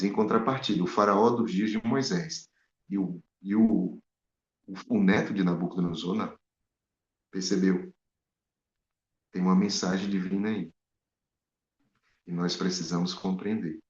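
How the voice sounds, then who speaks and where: Animated preacher in an echoey room. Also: male voice, low-pitched. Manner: animated, rhetorical questions to the audience. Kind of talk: Bible study sermon. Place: in Spain. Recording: echoey, dull lo-fi room sound.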